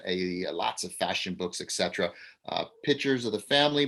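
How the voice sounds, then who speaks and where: A man's voice: medium pitch, a bit bright. A man reading stage directions aloud over a video call, with frequent uhs. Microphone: video call mic.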